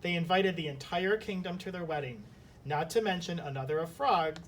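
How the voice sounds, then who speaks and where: A male teacher in a storybook read-aloud and lesson. He is recorded a little away from the microphone, with a faint rumble behind him. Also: normal speed. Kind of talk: storybook narration and lesson discussion. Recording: slightly distant mic, faint rumbling noise.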